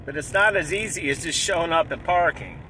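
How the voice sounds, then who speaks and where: A man in his forties vlogging from inside a car, talking excitedly in a casual, repetitive way and clearing his throat often. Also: fairly high pitch, a little fast, a little hiss or hum, rough recording. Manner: hyped, repeats himself, clears throat often. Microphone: dashboard camera close up.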